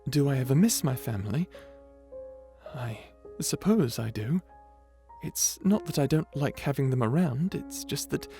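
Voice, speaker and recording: Dragon butler, male actor: bashful, courteous, hesitant servant for ASMR roleplay, medium-pitched, faint music underneath. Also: lively intonation, unhurried, warm tone. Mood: courteous, gently explaining. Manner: stammering, hesitant, deferential, formally polite. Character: shy polite old dragon butler, male, eager servant.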